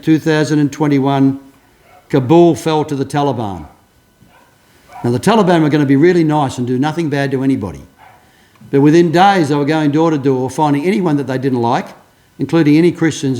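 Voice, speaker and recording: A man's voice: on the high side, brisk, mellow. An older pastor delivers his sermon in a slightly raised voice. He tells stories earnestly and often restarts his sentences along the way. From Australia. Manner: earnest storytelling, many restarts.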